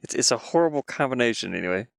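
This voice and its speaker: A man's voice: mid-range voice, expressive, rapid-fire, creaky, dry recording, clean studio sound. A man from the United States chatting casually on a live game stream, rambling and muttering to himself as he works.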